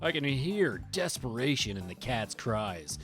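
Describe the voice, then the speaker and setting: A woman streamer reading a visual novel aloud with expressive, dramatic storytelling. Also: very deep voice, a little slow. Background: game music.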